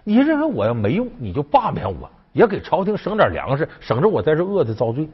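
A man's voice: fairly high pitch, huge pitch swings, fast talker, breathy edge, dull audio, heavily acted. A man voicing a wily, aggrieved court official, coaxing and emphatic, in a historical story. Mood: aggrieved pleading. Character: wily male Han court official, bluffing, aggrieved schemer.

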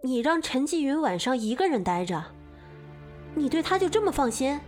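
A woman playing a protective, indignant young gentlewoman in a Chinese period radio drama, questioning forcefully. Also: high voice, very animated, normal speed, soft background music. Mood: incredulous.

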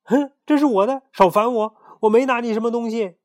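A shrill, panicky chubby chick, as a man puts him on, squealing high above a normal speaking voice and leaping all over the scale. He is jumpy and guarded about his secret. The voice sits close and dry, like a storybook reading. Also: big pitch swings, moderate pace, no echo. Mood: panicked.

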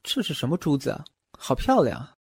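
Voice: medium pitch, brisk, husky, no room sound, exaggerated voice. An actor as a cocky, pouty young fox boy. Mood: delighted. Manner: pouty, brash, expressive. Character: cocky, playful, petulant young male fox spirit. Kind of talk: Chinese costume audio drama acting. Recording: loud music bed behind voice.

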